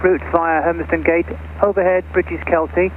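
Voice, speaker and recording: A man working as an air traffic controller, giving brisk procedural instructions over aviation radio. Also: a little high, little pitch movement. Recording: narrow crackly aircraft radio link.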